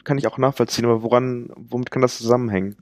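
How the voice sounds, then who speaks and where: Male podcast host, relaxed questioner, mid-pitched voice. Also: racing. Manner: relaxed, loose questioning with fillers. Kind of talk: casual interview.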